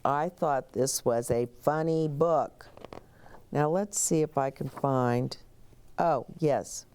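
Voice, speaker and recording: A woman's voice: extremely low pitch, slow, darkish tone, smooth. A woman hosting a children's story time, reading aloud expressively with chatty asides, explanations and questions for young listeners.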